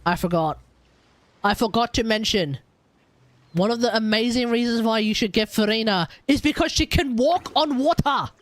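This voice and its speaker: A man's voice: very high-pitched, moderate pace, a bit bright, a little hiss or hum. A man with an Australian accent giving casual, opinionated, rambling commentary on a gaming livestream. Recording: faint game music behind.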